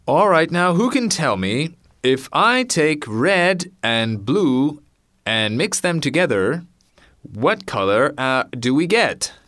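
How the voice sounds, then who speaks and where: A man voicing a schoolteacher who asks the class questions slowly and encouragingly. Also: a little high, very animated, no echo, studio quality, voice pushed up, exaggerated voice. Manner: loud, slow and encouraging. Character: cheerful schoolteacher quizzing a class.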